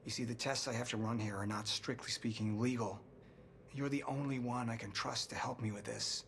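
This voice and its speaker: An actor playing a driven, persuasive scientist in earnest, cinematic video game dialogue. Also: male voice, medium pitch, fairly even intonation, brisk, fairly bright tone, slight background noise, lightly acted. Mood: earnest, pleading. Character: driven, persuasive male scientist friend, secretly nervous. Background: music.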